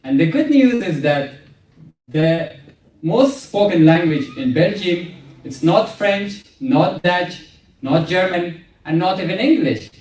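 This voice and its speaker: A man in his young adult years performing stand-up in English. He talks slowly in a slightly raised voice that swoops up and down, and his delivery is wry and dry. The sound is roomy and picked up from a distance. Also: sits high. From Afghanistan. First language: Farsi. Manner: wry, deadpan joking with laughing asides. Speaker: stand-up comedian. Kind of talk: stand-up comedy set.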